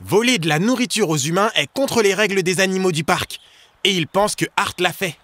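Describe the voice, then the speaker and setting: A man's voice: high-pitched, a little fast, noisy background, natural voice. An actor voicing an eager, friendly young boy in a French children's cartoon dub, speaking in an earnest, explanatory way. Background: music.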